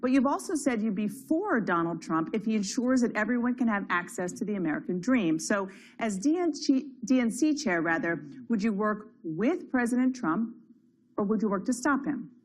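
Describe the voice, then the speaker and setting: A woman moderating a televised political debate, reading crisp scripted introductions and questions. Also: mid-range voice, lively intonation, normal speed.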